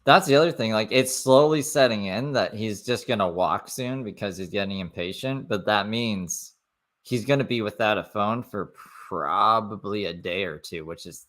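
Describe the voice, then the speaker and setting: A man giving casual, profane, rambling commentary over a livestream, swinging from loud asides to quiet mutters. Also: fairly low pitch.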